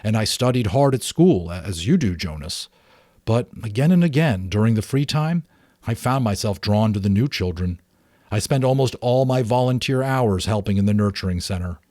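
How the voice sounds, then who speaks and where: A man voicing a gentle, shy father who earnestly recalls his childhood in an audiobook. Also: lowish voice, expressive, moderate pace, dry recording, studio quality, close to an everyday voice. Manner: earnest, reminiscing.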